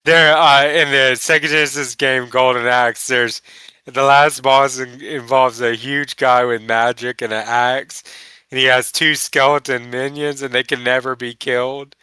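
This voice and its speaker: A man hosting a casual live stream over voice chat, his voice hoarse and congested from a cold, chatty and explaining things at length. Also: medium pitch, fairly even intonation, measured pace, bright, forceful tone, dry recording. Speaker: host playing the game. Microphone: headset over voice chat.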